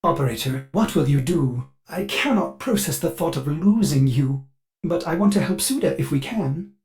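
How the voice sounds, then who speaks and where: A man's voice: on the high side, expressive, normal speed. A male actor voicing a devoted, anxious ship AI for a video game, speaking formally and theatrically through glitchy synthetic processing. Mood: sorrowful, devoted. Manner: theatrical, earnest, formal servile phrasing. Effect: synthetic glitchy robot voice processing. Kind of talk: video game character dialogue.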